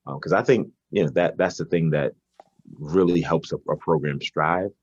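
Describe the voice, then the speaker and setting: A man's voice: a bass voice, normal speed, slightly rough, no echo, muffled recording. A man, a basketball coach answering interview questions conversationally, hesitating and repeating words as he thinks. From Brooklyn, New York, United States. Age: 40s.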